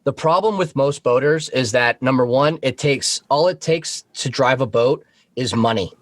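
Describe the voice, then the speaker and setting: A man's voice: mid-range voice, normal speed, no room sound, studio quality. A man talking casually as a talk show guest, explaining things frankly and with some swearing. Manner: frank, profane. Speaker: guest on a talk show, Coast Guard member.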